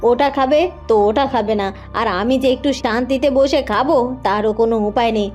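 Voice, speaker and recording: A woman's voice: on the high side, a little fast, darkish tone, faint music behind, lightly acted. A woman voicing a meek, dutiful young village wife in a cartoon, pleading and deferential. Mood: exasperated. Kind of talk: cartoon voice acting.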